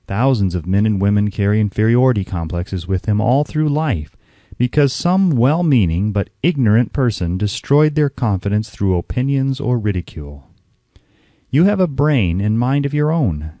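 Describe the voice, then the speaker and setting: A man reading an audiobook as a narrator, in a measured, instructive way with deliberate emphasis. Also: on the low side, warm tone, no room sound.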